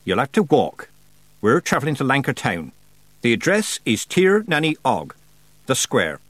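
A man's voice: mid-range voice, wildly swooping pitch, moderate pace, fairly bright tone, no room sound, voice pushed up. A man reading aloud, voicing a stern, cold old witch woman who speaks in a clipped, commanding way.

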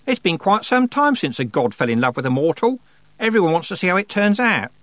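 Storybook goddess: skinny tired woman, wry and casual, high lilting delivery, performed by a male reader. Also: sing-song, brisk, fairly bright tone, no room sound. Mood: chatty. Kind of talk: audiobook character voice.

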